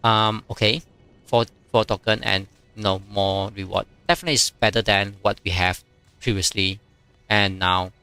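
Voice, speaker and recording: A man whose first language is Chinese, streaming a strategy game and chatting casually, with many fillers and pauses as he reads viewer comments. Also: on the low side, steady tone, sharp tone, smooth, no room sound, faint music behind. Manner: halting, many fillers, reads chat aloud.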